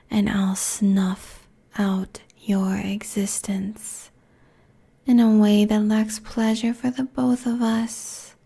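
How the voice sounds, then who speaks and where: A woman performs an ancient succubus queen for an ASMR audio roleplay. She whispers in a dark, breathy voice, drawing each phrase out very slowly. Her manner is seductive, haughty and cruel, with menace held low and even, close to a binaural mic. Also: full character voice. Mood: cold threat. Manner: slow, deliberate, taunting, haughty. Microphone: close binaural microphone.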